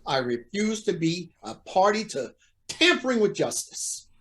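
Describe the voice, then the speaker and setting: A man's voice: high-pitched, big pitch swings, a little slow, a bit bright, a little hiss or hum, full character voice. A man playing a proud, indignant judge who feels cornered, in an old-time radio drama with a formal, blustering manner. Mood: defiant.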